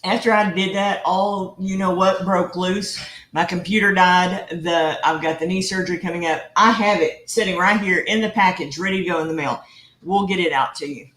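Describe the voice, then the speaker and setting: A woman's voice: fairly low pitch, fairly even intonation, moderate pace, a bit bright. Texan woman, home cooking host, teasing, roomy kitchen sound. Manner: chatty, teasing, laughs often. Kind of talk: casual live cooking chat.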